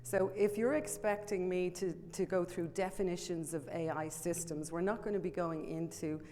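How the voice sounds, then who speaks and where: A woman's voice: lowish voice, steady tone, normal speed, warm tone. A middle-aged woman with a Dublin Irish accent gives a chatty, self-deprecating conference talk from a podium microphone, with many ums. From Ireland. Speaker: conference presenter. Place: large conference room. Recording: long hall reverb, faint room noise.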